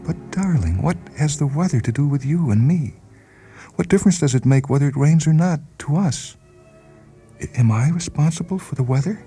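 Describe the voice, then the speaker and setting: A man voicing a wounded, pleading wife who holds herself steady, soft and imploring, in a radio story reading. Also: fairly high pitch, normal speed, soft background music, voice pushed up. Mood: hurt, pleading.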